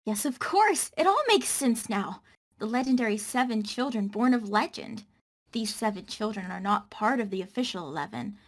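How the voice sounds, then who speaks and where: An earnest female keeper of fantasy legends read by a woman, a little high in pitch. She explains lore in an expository way, as in an amateur script read. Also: expressive, moderate pace. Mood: knowing.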